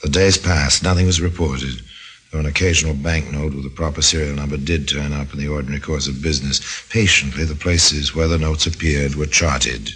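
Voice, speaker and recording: An actor playing a wry, ominous storyteller, narrating a radio drama in a husky, deliberate, dramatic voice. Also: male voice, very deep voice, fairly even intonation, a bit bright, full character voice.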